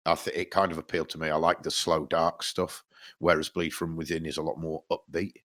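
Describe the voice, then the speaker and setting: A man's voice: extremely low pitch, flat delivery, fairly quick, fairly bright tone. A man co-hosting a podcast, chatting casually; he is congested from hay fever, rambles, restarts his sentences and swears freely. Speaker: podcast co-host. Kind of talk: casual chat.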